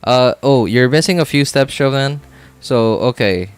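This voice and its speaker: A man's voice: medium pitch, unhurried, smooth. A man chatting casually on a game livestream, thinking aloud, repeating himself and often checking in with "right?".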